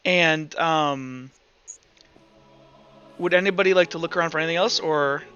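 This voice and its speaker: A man speaking as game master, improvising narration in an informal, halting way with repeated and restarted phrases. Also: on the high side, pushed and bright, clean tone. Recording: muffled online voice call with background music.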